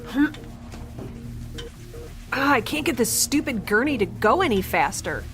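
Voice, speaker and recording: A woman's voice: on the high side, animated, fairly bright tone, faint music behind. Rambling nerdy young woman scientist. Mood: urgent, exasperated. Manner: rambling, halting, talking to herself. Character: chatty nerdy young woman scientist, quirky, stubborn, caring. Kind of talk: audio drama monologue and dialogue.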